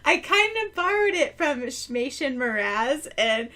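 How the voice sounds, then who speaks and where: A woman's voice: sits high, sing-song, slow, pushed and bright. A woman co-hosting a podcast, chatting loosely about a story chapter and giggling often. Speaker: podcast co-host. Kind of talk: casual book-club chat.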